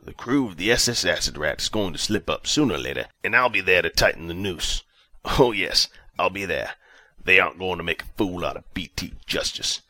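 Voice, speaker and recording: An actor playing a vengeful, self-important ship commander in an audio story, imperious and menacing. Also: male voice, low-pitched, lively intonation, fairly quick, sharp tone, no echo, exaggerated voice. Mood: gloating menace. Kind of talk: audio story character acting.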